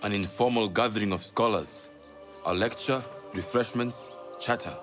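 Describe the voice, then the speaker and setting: An actor playing a shrewd, commanding spymaster and mentor, with weighty, deliberate phrasing in dramatic game dialogue. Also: male voice, on the low side, close to an everyday voice. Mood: cautious, commanding. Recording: music behind the voice.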